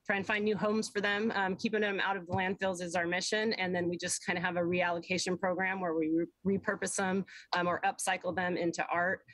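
A woman in her fifties, a surfboard recycling co-founder, speaking in a relaxed way with many pauses in a remote TV interview. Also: lowish voice, no echo, poor audio. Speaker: co-founder, guest. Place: outdoors with surfboards.

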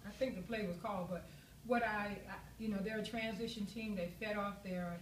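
A middle-aged woman coach answering questions at a post-game press conference in a measured, thoughtful way, often pausing with "you know". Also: mid-range voice, fairly even intonation, recorded from a distance. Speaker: head basketball coach. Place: press room with backdrop, seated at table. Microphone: table mic, recorded from a camera across the room. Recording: echoey room recorded from afar, noisy.

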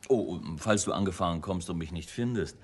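A man's voice: low-pitched, fairly quick, natural voice. An actor playing an easygoing, wry American man in his forties in a radio crime drama, breezy and dryly joking. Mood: easygoing, confident. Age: middle-aged.

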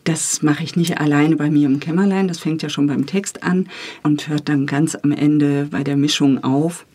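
A middle-aged woman with a slightly husky voice, talking about her work as a guest in a studio interview. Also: sits low, steady tone, moderate pace, warm tone. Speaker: interview guest, radio drama editor and director. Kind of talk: interview talk about radio drama work. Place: recording studio.

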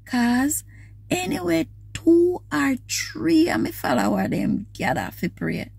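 A middle-aged woman with a Jamaican accent reading aloud devotionally in a measured, expressive way. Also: high voice, creaky, dry recording. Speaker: scripture reader. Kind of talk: devotional read-aloud in a casual, personal setting. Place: inside a parked car.

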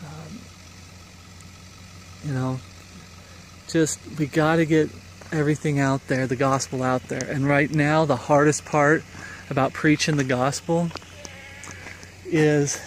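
A man's voice: a little high, level tone, slow, pauses often, a little hiss or hum. A middle-aged man giving an informal, rambling sermon while walking outdoors on a wooded trail, recorded on a handheld phone. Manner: earnest, rambling, exhorting with fillers. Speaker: street preacher. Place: foggy woodland trail outdoors. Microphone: phone camera held at arm's length.

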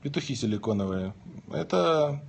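A man's voice: medium pitch, expressive, moderate pace, vocal fry, husky. Man chatting casually on a phone livestream. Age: 30s. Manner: joking, reads questions aloud then answers them. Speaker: livestream host. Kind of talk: casual livestream chat reading viewer questions. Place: lying in bed indoors. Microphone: phone held at arm's length.

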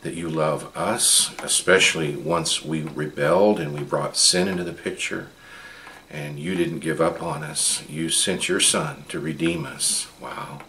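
A man's voice: extremely low pitch, steady tone, unhurried, pushed and bright, slight background noise, low-quality recording. A man in his fifties greeting a church online from his living room and leading an earnest spoken prayer, sometimes repeating words.

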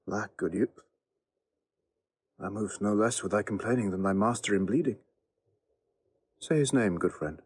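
An actor playing a noble Roman general, commanding yet fatherly, in a Shakespearean audio drama, with measured, authoritative verse delivery. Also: on the low side, slight background noise, lightly acted. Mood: gentle.

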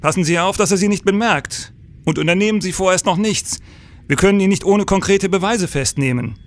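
An actor playing a weary, commanding middle-aged police chief in a radio crime drama, brisk with pensive hesitations. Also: male voice, high-pitched.

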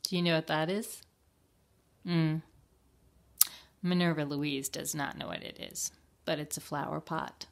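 Slow-paced older woman reading aloud. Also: low-pitched, steady tone, creaky. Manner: deliberate, questioning, child-directed. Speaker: library storytime reader. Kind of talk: picture-book read-aloud for children.

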